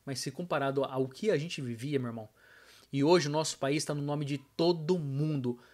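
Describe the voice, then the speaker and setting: A man's voice: a little high, normal speed, a little mellow. A middle-aged Brazilian man giving his opinion casually as a vlogger, emphatic and colloquial, speaking straight to his viewers from a room at home. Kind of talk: casual opinion vlog to camera. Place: small home room with arcade machine, indoors.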